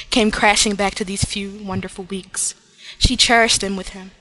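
A woman giving a dramatic reading as an earnest, wistful narrator of a romantic tale, deliberate and theatrical. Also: medium pitch, a bit bright, pitched below the performer's own voice, close to an everyday voice, rough recording. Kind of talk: dramatic reading of fan fiction.

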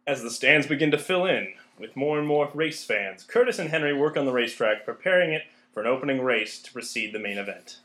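A man's voice: medium pitch, expressive, a little fast, few pauses, fairly bright tone, natural voice. A man narrating a scripted radio play. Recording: roomy, echoing recording.

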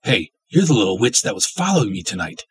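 A man voicing a feisty young American woman held captive in a dramatic story reading, slangy and forceful. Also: lowish voice, sing-song, fast talker, breathy edge, exaggerated voice. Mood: defiant.

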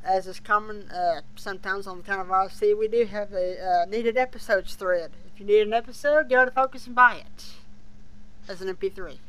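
Podcast host, bright forward voice, casual review chat, halting delivery. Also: mid-range voice, fairly even intonation, poor audio.

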